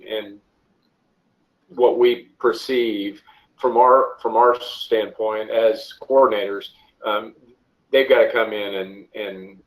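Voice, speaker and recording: Halting man answering on a group call. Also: on the low side, low-quality recording. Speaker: officiating coordinator, interview guest.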